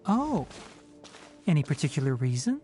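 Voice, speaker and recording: A woman's voice: low-pitched, very animated, moderate pace, music in the background. A woman playing a youthful male forest ranger in a video game, knowledgeable and practical, with a dry, instructive manner. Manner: instructive, matter-of-fact, dry. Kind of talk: video game character voice acting.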